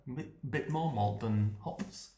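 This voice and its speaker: A man chatting on a beer podcast, dry and teasing, quick to laugh. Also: mid-range voice, dark tone. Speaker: podcast co-host. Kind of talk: casual chat. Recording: echoey room with noise.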